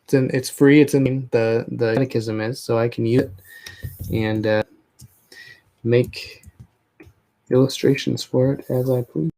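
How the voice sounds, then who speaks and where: A man's voice: mid-range voice, fairly even intonation, unhurried, vocal fry, pauses often, warm tone. A man co-hosting a casual livestream, an illustrator talking in a hesitant way with dry jokes. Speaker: illustrator and stream co-host.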